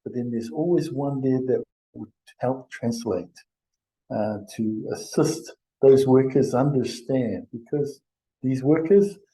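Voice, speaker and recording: A very dark, soft-edged male voice. He presents informally, as in a webinar, pausing often and feeling his way through sentences in a conversational manner. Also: medium pitch, poor audio. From Samoa. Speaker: health and safety presenter.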